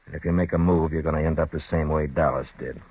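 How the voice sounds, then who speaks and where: An actor playing a steady, grim frontier marshal on a vintage radio western, speaking in a calm, clipped drawl. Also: male voice, rumbling low, quick pace, slightly rough, no room sound, heavily acted. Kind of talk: 1950s radio western acting. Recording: muffled old radio broadcast with hiss.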